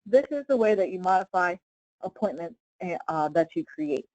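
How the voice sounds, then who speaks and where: A woman leading a casual live software tutorial as a trainer, explaining step by step in an informal way with frequent check-in questions. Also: on the low side, expressive, moderate pace, no echo, poor audio.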